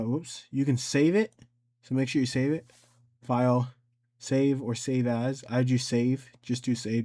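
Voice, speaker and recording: A man gives an informal computer tutorial in a mid-pitched voice. He talks off the cuff and haltingly, often repeating a step or correcting his own wording as he goes. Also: dark tone. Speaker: tutorial creator. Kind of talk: casual unscripted screen-recorded tutorial. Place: voice-over for a screen recording.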